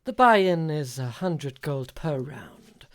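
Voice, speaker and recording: A man voicing a courteous, welcoming bald gambler who is quietly in charge, speaking with formal politeness in a tabletop game. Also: on the high side, expressive, slow pace. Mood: genial, commanding.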